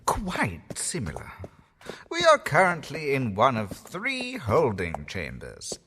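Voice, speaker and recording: An actor playing a theatrical, courtly host who runs a haunted asylum in an audio drama, speaking in a grand, sweeping manner. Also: male voice, medium pitch, huge pitch swings, slow, sharp tone, slight background noise, exaggerated voice. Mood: instructive. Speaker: asylum owner character. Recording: faint music underneath.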